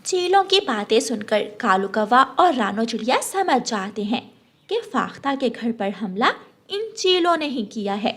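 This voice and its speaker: A woman's voice: fairly high pitch, sing-song, a little slow, fairly bright tone. A woman reading scripted narration for a children's cartoon story.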